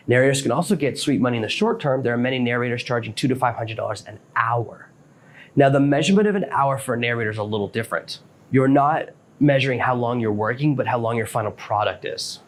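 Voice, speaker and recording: A man hosting a solo instructional podcast, explaining things plainly and speaking directly to the listener. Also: medium pitch. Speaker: podcast host.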